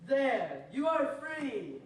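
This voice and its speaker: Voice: high voice, sing-song, very slow, exaggerated voice. A performer playing a pompous, exasperated outlaw rustler in a stage Western, with precise and over-emphatic diction. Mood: desperate pleading. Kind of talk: stage show of old-time Western radio drama. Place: theater stage with distant microphone. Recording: very echoey noisy stage, audience, far off.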